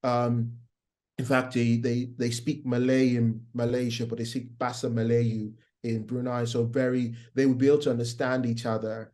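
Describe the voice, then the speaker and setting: A man's voice: medium pitch, little pitch movement, moderate pace, a little mellow. A middle-aged man with a Nigerian accent and a faint American twang, a guest chatting casually and rambling with plenty of fillers and restarts. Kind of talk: casual interview chat.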